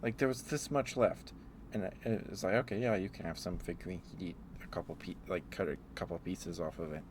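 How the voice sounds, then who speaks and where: Low-voiced man, rambling ASMR chat. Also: steady tone, fairly quick. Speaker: ASMR streamer. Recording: clearly audible background noise.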